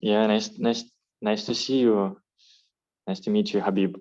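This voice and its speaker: Club president from ukraine opening a video call, kinda low voice, lots of uhs, muffled lo-fi audio. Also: male voice, flat delivery, measured pace, a little mellow. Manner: hesitant, friendly, many fillers. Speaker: club president hosting the meeting. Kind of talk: online meeting host chat.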